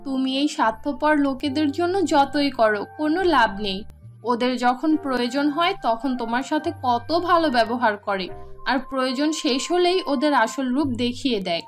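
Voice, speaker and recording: A woman's voice: high-pitched, animated, moderate pace, soft background music. A woman voicing a cynical, outspoken younger sister with a frank, chiding manner for a children's cartoon. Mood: exasperated.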